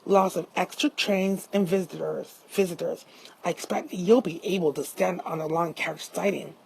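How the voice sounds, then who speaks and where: A woman's voice: lowish voice, fairly even intonation, normal speed, gravelly, a little mellow, husky, low-quality recording. Woman reading a friendly young branch-line engine. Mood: cheerful.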